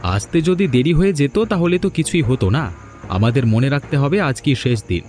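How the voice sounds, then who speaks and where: A man's voice: medium pitch, expressive, normal speed, a little mellow, faint music behind, close to an everyday voice. A man playing a determined, encouraging village friend in a fairy-tale cartoon, with a resolute manner. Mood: urgent, resolute. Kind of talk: dramatic dubbed cartoon dialogue.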